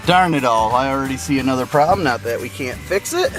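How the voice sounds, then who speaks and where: A man's voice: medium pitch, lively intonation, fairly quick, over music. A man giving a casual, folksy hands-on walkthrough to camera as a car hobbyist, thinking aloud as he works with some hesitation.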